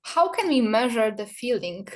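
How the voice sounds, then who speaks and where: A woman's voice: a little high, sing-song, normal speed, slight background noise. A woman from Poland answering on an online panel, speaking with many fillers and restarts. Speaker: PR association board member. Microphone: video call.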